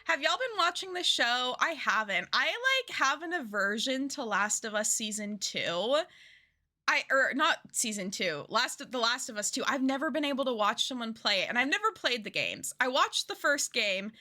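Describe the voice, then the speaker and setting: A woman's voice: on the high side, big pitch swings, moderate pace, sharp tone. Chatty, phlegmy young woman streamer. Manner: chatty, giggly, self-deprecating. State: slightly phlegmy, lingering cough. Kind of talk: casual stream chat.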